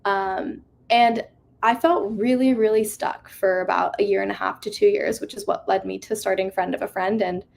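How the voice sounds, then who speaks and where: Twenties woman speaker, vocal fry, earnest and reflective talk over a video call. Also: mid-range voice, fairly even intonation, normal speed, a little mellow. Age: 20s. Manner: earnest, reflective, many fillers. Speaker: conference speaker. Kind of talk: motivational talk with slides. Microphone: video call mic.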